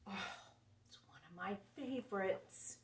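Storytime host, female, middle-aged. Slow, animated picture-book read-aloud for young kids, recorded in a roomy space. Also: mid-range voice. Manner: expressive, simple phrasing with exclamations and asides to children. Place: indoor room, seated before a dark backdrop. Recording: roomy, distant camera microphone.

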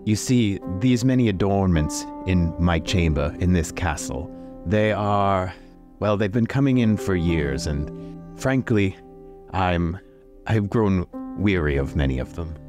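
A man voicing a stern but softening, weary older baron in a tabletop roleplay, speaking formally with measured pauses. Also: low voice, expressive. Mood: weary, conciliatory. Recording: music playing behind.

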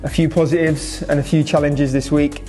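Flat-voiced young man giving a vlog update. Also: fairly high pitch, normal speed, over loud music. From England. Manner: casual, matter-of-fact, lots of "so" and "obviously". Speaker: restaurant owner. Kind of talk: vlog update on a restaurant renovation. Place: restaurant under renovation, indoors.

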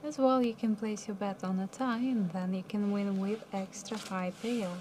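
A young woman working as a live casino card dealer, calling each round in a soft, mumbly, calm and polite way from a studio table. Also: medium pitch, moderate pace, a little hiss or hum. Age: young adult. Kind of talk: announcing card game rounds.

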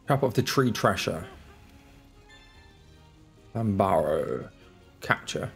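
A man narrating his own gameplay with a congested, stuffy voice from a cold. He talks in a relaxed, muttering way and makes fun of himself, close to a desk microphone. His voice sits fairly low. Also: takes it slowly, vocal fry, faint music behind. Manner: laid-back, muttering, self-deprecating. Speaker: gaming channel host. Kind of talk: casual let's-play commentary. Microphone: desk microphone, off camera.

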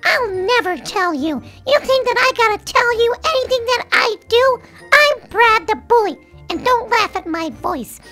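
Tiny squeaky boy bully, girlish, boastful, touchy, very high and bright, children's comedy. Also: expressive, moderate pace, faint music behind, full character voice. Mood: defiant, boastful. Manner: defiant, boastful, childish tough talk. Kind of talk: acted dialogue in a children's comedy video.

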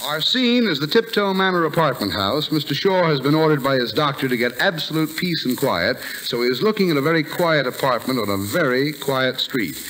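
A man's voice: a little high, expressive, fairly quick, few pauses, a bit bright. A man announcer setting the scene in scripted 1940s radio narration. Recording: slightly noisy vintage radio recording.

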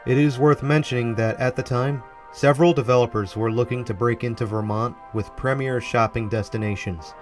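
A man delivering scripted, documentary-style narration for a walkthrough video. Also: mid-range voice. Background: background music.

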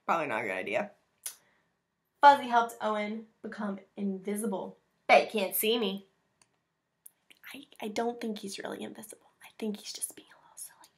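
Female American teacher, mid-range voice, expressive picture-book read-aloud with simple asides for kids. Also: mid-range voice, brisk. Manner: expressive, explains things simply for children. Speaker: teacher reading a picture book to her class. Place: small room at home with bookshelves.

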